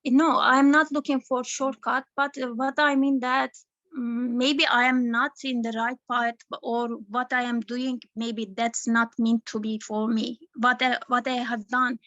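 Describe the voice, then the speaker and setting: A woman's voice: fairly high pitch, flat delivery, a little slow, a bit bright, dry recording. A woman from Turkey with a Turkish accent, a non-native English speaker asking a question hesitantly and searching for words on a video call. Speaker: webinar participant. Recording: dry muffled call audio.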